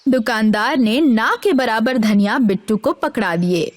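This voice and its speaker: A woman narrating a cartoon story in an expressive storytelling manner. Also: fairly high pitch, unhurried.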